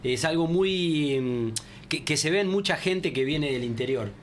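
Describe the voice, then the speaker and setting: A man's voice: on the high side, lively intonation, measured pace, slight background noise. A man with an Argentine accent, co-hosting a casual radio show and musing thoughtfully on the guest's story. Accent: Argentine Spanish. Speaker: radio host.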